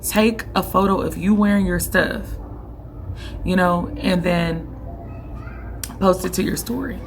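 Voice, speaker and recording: A young woman speaks in a slightly low, hoarse voice, half gone, as she hands out casual vlog tips on selling online. She is direct and pushy in a friendly way, often pausing to make sure the listener is with her. Faint background noise sits under the recording. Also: fairly even intonation. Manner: direct and coaching, keeps checking in with "okay?". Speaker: online boutique owner giving business tips.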